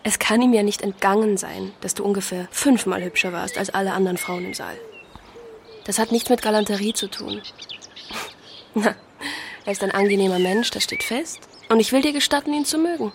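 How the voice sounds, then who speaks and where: A woman's voice: medium pitch, moderate pace, close to an everyday voice. A woman playing a frank, witty young sister in a German radio drama, playful and candid. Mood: teasing, affectionate. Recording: clear outdoor noise with birdsong.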